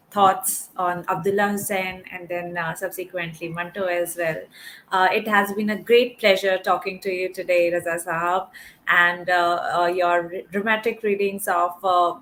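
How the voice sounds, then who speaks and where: A woman's voice: lowish voice, fairly bright tone, slight background noise. A woman moderating an online event, thanking the guest politely with some hesitant fillers. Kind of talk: closing remarks at a virtual event. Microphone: video call.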